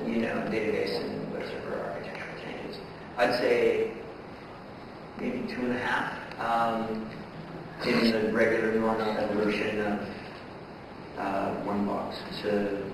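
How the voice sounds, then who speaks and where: A man's voice: lowish voice, creaky. A man answering questions on a conference panel, hesitant and full of ums. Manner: hesitant, many ums, laughs between answers. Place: large conference hall stage. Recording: echoey hall from far off with background noise.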